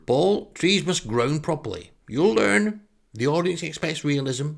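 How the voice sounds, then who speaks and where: A man's voice: fairly high pitch, animated, measured pace, vocal fry, voice pushed up. A man voicing a stern, grumbling prop man who lectures emphatically in a comic story.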